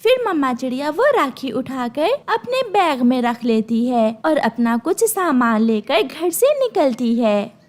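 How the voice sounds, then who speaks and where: A woman's voice: sits high, big pitch swings, a little slow, a little mellow. A woman reading scripted narration for a children's story, as the narrator.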